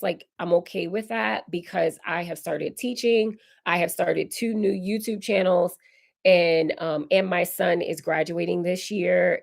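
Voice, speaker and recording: A woman in her forties, hoarse and raspy while recovering from illness, hosting a casual live Q&A and answering viewer comments. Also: on the low side. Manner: chatty, reads viewer comments aloud and answers warmly. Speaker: decor channel host. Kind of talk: live stream Q&A chat.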